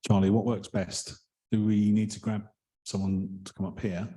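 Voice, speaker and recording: A man chairing a members' meeting in a hall, polite and hesitant with many pauses and ums. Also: on the low side, flat delivery, warm tone.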